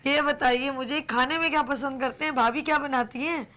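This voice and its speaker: A woman's voice: high-pitched, steady tone, fairly quick, fairly bright tone. A woman with a Pakistani Urdu accent hosting a live morning TV show, chatting and teasing playfully, her throat a little sore. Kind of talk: casual live TV chat.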